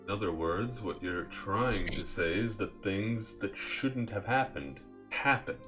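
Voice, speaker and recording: Man acting polite teenage boy, lowish voice, calm, even-tempered, video game dialogue, light music behind. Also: unhurried. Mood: concerned.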